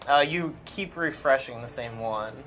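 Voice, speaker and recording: A man's voice: mid-range voice, a little slow, fairly bright tone, rough recording. A man co-presenting a game stream, explaining technical gameplay details in an informal, matter-of-fact way.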